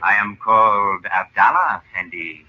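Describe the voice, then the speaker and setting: A man's voice: lowish voice, normal speed, a little hiss or hum, natural voice. A man playing a mysterious, deferential Egyptian storyteller in an old radio drama, speaking formally and with measure. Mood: calm, secretive. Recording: muffled old radio broadcast.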